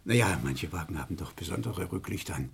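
Nervous, polite clerk for radio crime drama: low, very husky, hesitant. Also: male voice, lively intonation, heavily acted. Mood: apologetic, rambling. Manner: hesitant, halting, politely apologetic. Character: meek, nervous male clerk giving a police statement. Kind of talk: German radio crime play acting.

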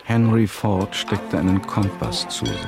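A man's voice: on the low side, measured pace. A man reading scripted radio drama narration in a composed, dry manner. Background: music.

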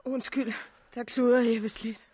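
A woman's voice: on the high side, steady tone, darkish tone, breathy edge. A woman acting in a 1960s radio drama as a composed, wry survivor who speaks candidly. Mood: uneasy.

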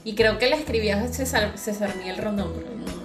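A woman's voice: mid-range voice, a little fast, roomy sound, loud music behind the voice. A woman with a Venezuelan accent in casual conversation as a podcast co-host.

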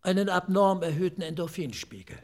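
An actor playing a talkative forensic pathologist in a radio drama, dryly clinical and slightly eccentric, explaining things in measured, savoring phrases. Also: male voice, high-pitched, lively intonation, a little mellow. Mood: lecturing, relishing. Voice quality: raspy, creaky. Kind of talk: German radio drama acting.

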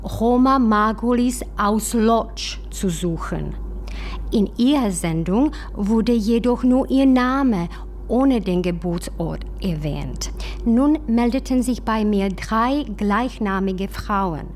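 A formal letter writer in a radio play. She reads very slowly and measuredly in a fairly high voice. There is faint noise behind her. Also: expressive, darkish tone. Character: formal female letter writer searching for a lost person. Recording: faint ambient background noise.

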